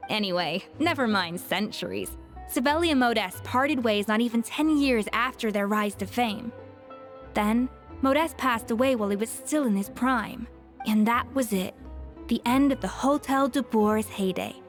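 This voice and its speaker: A self-assured, exacting woman chef from a video game voiced by an actress. The voice is fairly high, with haughty, precise diction and a lecturing manner over background music. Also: moderate pace, lightly acted.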